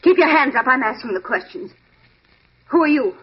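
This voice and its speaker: Wary young lady, very high voice, emotional melodramatic delivery, old radio drama, thin tinny recording. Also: animated, moderate pace, husky. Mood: commanding, suspicious. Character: wary, distraught young woman, murdered man's sister. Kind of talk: 1940s-50s radio melodrama acting.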